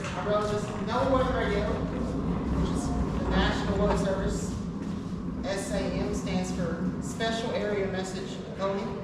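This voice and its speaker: A woman giving an informal class, reading from a paper in a halting, list-like way. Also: mid-range voice. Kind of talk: informal presentation read from a paper. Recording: echoey hall from far off, noisy.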